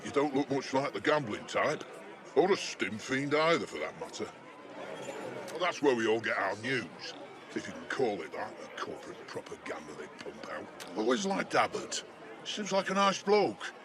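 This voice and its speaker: A jaded, talkative older British man of the cyberpunk slums, played by a male game actor, speaks in an average-pitched voice. His delivery is colloquial and sardonic, like a matey working-class local. A busy urban crowd is clearly audible behind him. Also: natural voice. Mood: wry, chatty. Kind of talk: video game character dialogue.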